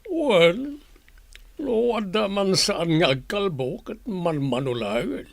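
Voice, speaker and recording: A man's voice: sits high, animated, slow, creaky, dry recording, exaggerated voice. A man playing a caring but exasperated grandfather in an Ilocano comedy radio drama, with an Ilocano accent. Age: older.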